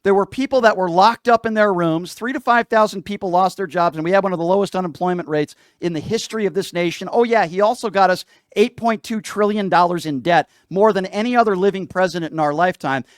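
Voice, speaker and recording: A man's voice: high-pitched, fast talker, hardly any pauses, no room sound, clean studio sound. A man hosting a live call-in show, debating politics in an argumentative, insistent way and repeating phrases for emphasis.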